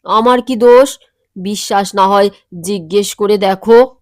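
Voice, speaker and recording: A woman voicing a mischievous, unrepentant teenage boy in a comic audio story, deadpan and nonchalant. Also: medium pitch, measured pace, no room sound, clean studio sound, pitched below the performer's own voice, lightly acted. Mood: unbothered, self-justifying.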